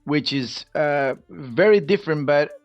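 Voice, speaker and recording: Middle-aged man, Costa Rican accent, native Spanish speaker, casual storytelling, slightly high voice, roomy muffled recording. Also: animated, measured pace, soft background music. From Costa Rica. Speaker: podcast guest, Spanish teacher. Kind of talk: casual personal storytelling in an interview.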